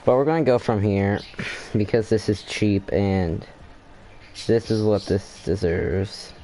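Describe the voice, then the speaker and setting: A young man giving casual, halting gameplay commentary, thinking aloud as he plays. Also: on the low side, mellow, a little hiss or hum, low-quality recording. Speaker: gaming video host.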